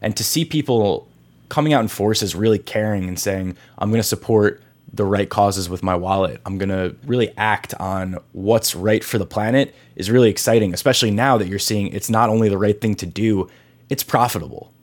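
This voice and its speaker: A man's voice: fairly low pitch, moderate pace. Upbeat male podcast host. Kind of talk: scripted intro, then conversational questions.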